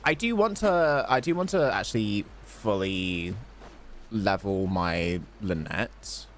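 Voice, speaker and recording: A man's voice: on the low side, lively intonation, slow, slight background noise. A man streaming a game, chatting casually and rambling while answering chat questions. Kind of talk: casual gameplay commentary.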